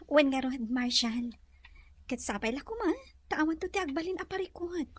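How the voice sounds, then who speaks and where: A woman playing a gentle, repentant lady of the mansion in an audio drama, soft and earnest. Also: sits high, animated, normal speed. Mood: anxious.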